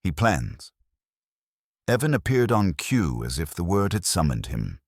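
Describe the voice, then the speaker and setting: A man with a gravelly, husky voice, narrating a scripted detective story in a wry noir manner. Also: low-pitched, very animated, moderate pace, no room sound, studio quality. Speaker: audiobook narrator.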